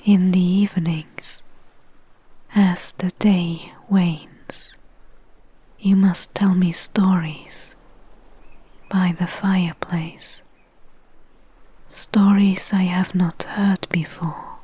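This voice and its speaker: A woman playing a calm, confident, possessive enchantress of Greek myth in a soft, breathy, soothing ASMR roleplay. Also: fairly low pitch, exaggerated voice. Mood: tender, possessive. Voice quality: breathy, whispery. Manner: slow, soothing, deliberate.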